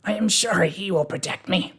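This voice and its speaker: A reader voicing an unflappable, kindly scarecrow king from a storybook, musing in a measured, mildly pompous way. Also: medium pitch, a bit bright, slightly rough, pitched above the performer's own voice. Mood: confident.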